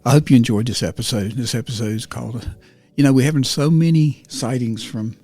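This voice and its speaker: A man hosting a podcast, casual, halting and meandering. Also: medium pitch, darkish tone. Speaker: podcast host. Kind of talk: casual rambling podcast introduction.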